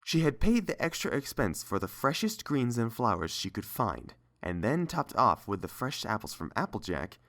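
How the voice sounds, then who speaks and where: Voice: mid-range voice, big pitch swings, normal speed, few pauses, a bit bright. A narrator reading scripted story prose.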